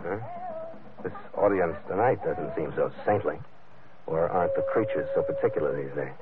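Stubborn sea captain, low-pitched, terse, brooding, vintage radio adventure, thin recording. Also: male voice, normal speed, heavy background noise. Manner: terse, matter-of-fact, brooding. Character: hard-boiled, stubborn sea captain narrating his adventure. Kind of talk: old-time radio adventure narration and acting. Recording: narrow-band old radio recording under loud music.

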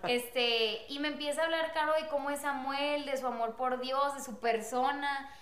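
A young woman telling a personal story in casual chat, animated and quick to laugh. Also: high voice, roomy sound. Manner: animated, laughs often, acts out quotes. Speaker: guest telling their love story.

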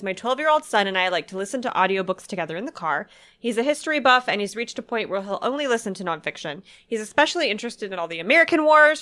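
Chatty woman podcast host, bright tone. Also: mid-range voice. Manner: chatty, jokey, quick asides. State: sinus infection voice. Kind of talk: casual chat.

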